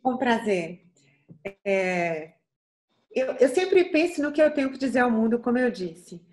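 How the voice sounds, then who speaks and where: A middle-aged Brazilian singer from Bahia with a light Bahian accent and a soft voice, speaking earnestly and reflectively in an interview, with frequent restarts. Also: female voice, fairly high pitch, sing-song, unhurried. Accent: Bahian Portuguese. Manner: thoughtful, earnest, frequent restarts and tag questions.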